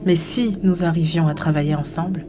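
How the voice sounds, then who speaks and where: A woman interpreting a sermon live, following the preacher closely at a steady pace. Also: low-pitched, fairly quick, background noise.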